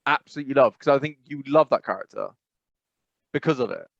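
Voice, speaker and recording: A man's voice: medium pitch, fast, creaky, no echo, dull audio. A man co-hosting a podcast in casual chat, rambling and often correcting himself mid-sentence. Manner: rambling, self-correcting, frequent "I must be honest". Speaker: podcast co-host.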